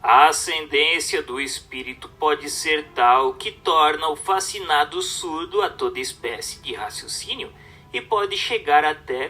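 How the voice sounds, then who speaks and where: A man with a Brazilian accent reading a religious treatise aloud as a narrator, in a formal, measured, didactic way. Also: on the high side, bright, forceful tone.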